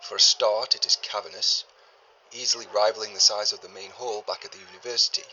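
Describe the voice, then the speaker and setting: An actor playing a learned 1930s archaeologist, a man recording a formal, deliberate journal in grave and uneasy tones for an audio drama. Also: fairly low pitch, steady tone, pushed and bright, a little hiss or hum, rough recording. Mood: grave unease. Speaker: expedition leader keeping a recorded log. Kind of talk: scripted audio drama monologue, spoken journal.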